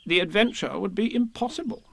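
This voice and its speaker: A man voicing a bossy, fussy male rabbit who plans everything, in a high, brisk, officious voice. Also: gravelly, fairly bright tone, full character voice.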